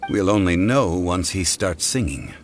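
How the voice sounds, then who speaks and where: A man playing a composed, learned gentleman in a game, speaking in a measured, formal, explanatory way. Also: low voice, expressive, over music. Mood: composed, musing. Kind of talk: scripted game dialogue.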